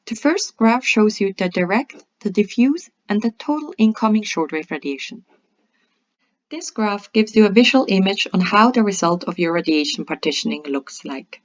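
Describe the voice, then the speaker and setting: A woman presenting a scripted software tutorial in a methodical, step-by-step instructional way. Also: medium pitch, lively intonation, normal speed. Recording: dull lo-fi voice-over.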